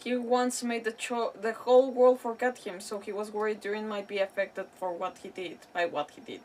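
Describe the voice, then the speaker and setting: A woman's voice: mid-range voice, fairly even intonation, normal speed, a little mellow. A woman giving casual, rambling game commentary as a streamer, often interrupting herself. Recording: distant mic, game noise behind.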